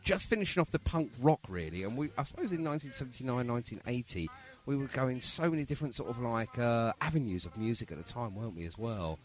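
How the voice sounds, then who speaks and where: A man's voice: mid-range voice, expressive, fast talker, few pauses. A man hosting a casual radio interview in a chatty, rambling, jokey way. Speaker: radio show and podcast host.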